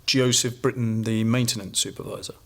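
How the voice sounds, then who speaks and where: An actor playing a safety investigator, questioning in a measured, formal way in a naturalistic training film drama. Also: male voice, on the low side, steady tone, vocal fry, fairly bright tone. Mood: probing.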